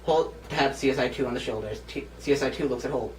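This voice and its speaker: A man's voice: mid-range voice, quick pace. A man in his twenties casually reading out script stage directions as host and narrator. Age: 20s. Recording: roomy, distant mic, faint noise.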